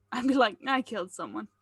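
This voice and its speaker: A woman's voice: fairly high pitch, moderate pace, husky, dry recording. A woman chatting casually on a group call as the writer leading a table read, jokey and self-deprecating. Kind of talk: casual video call chat.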